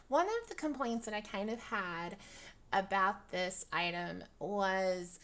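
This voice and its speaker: A young woman talks through a casual review, her voice dry and gravelly with plenty of fry. She rambles, restarts her sentences and fills the gaps with ums. Her pitch sits in an ordinary middle range. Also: animated, slow, fairly bright tone. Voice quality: raspy, dry voice. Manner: rambling, many ums, laughs often. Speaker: virtual-world fashion vlogger. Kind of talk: casual product review chat.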